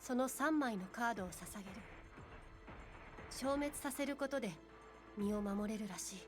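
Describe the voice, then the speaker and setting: A woman's voice: a little high, fast, natural voice. An actress playing a cool, composed young woman in a visual novel, speaking matter-of-factly with slightly boyish phrasing. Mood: calm, informative. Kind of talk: Japanese anime-style visual novel acting. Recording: quiet game dialogue under clear music.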